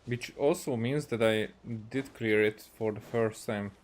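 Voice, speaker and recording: Low-pitched, slow-paced Hungarian man. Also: a little hiss or hum. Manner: musing, thinking aloud in short fragments. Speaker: game streamer. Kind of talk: casual gameplay commentary.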